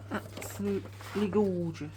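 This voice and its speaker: A woman's voice: medium pitch, fairly even intonation, unhurried, dark tone, a little hiss or hum. A woman chatting casually as a craft vlogger, rambling on with a slightly whiny voice because of a sore tooth. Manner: chatty, rambling, admits to waffling. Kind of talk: casual craft show-and-tell chat.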